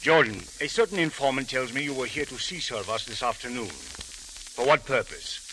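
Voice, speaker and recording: An actor playing a stern, suspicious police captain, speaking formally and deliberately in old radio drama style. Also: male voice, medium pitch, lively intonation, pushed and bright, rough recording. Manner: formal, deliberate, uncontracted speech. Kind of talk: 1940s-50s radio detective acting. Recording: hissy old radio recording.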